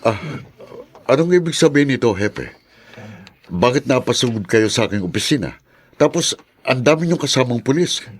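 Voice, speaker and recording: A man with a Filipino accent playing an anguished accused mayor in a radio drama, halting and stammering as he protests his innocence. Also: mid-range voice, sing-song. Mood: shocked. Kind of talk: Tagalog radio drama acting.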